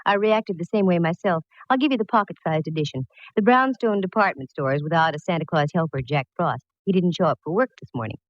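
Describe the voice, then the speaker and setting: A woman's voice: lowish voice, no echo, lo-fi sound, lightly acted. An actress playing a breezy, wisecracking female private eye in 1940s radio drama style, glib and sardonic, on a vintage recording. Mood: brisk, wry. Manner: glib, sardonic, quick with quips.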